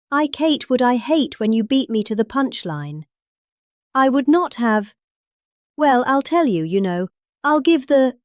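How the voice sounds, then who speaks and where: A middle-aged woman with a British accent giving a dramatic reading of a political speech, emphatic and deliberate in her phrasing. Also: medium pitch, darkish tone, no room sound, clean studio sound. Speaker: reader.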